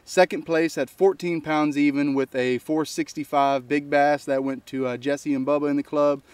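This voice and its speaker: Easygoing man, higher voice. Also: fairly even intonation, moderate pace, darkish tone, clean tone, no room sound. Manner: easygoing, talks through results and thank-yous. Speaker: fishing channel co-host. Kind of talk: casual recap chat.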